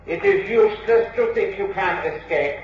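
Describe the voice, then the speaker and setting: A man's voice: high-pitched, lightly acted. A male actor playing a gloating, taunting villain in a classic radio drama. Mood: gloating menace. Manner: slow, taunting, triumphant. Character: gloating male villain springing a deadly trap. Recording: dull, very echoey, distant, faintly noisy radio recording.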